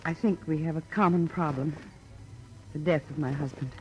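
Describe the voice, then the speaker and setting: An actress playing a guarded, wounded widow in an old radio drama, speaking with hesitant, restrained earnestness. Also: female voice, sits low, steady tone, normal speed, muffled recording, natural voice. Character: plain, estranged widow under suspicion, guarded and wounded. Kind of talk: 1950s radio drama acting. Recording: old radio recording, faint noise.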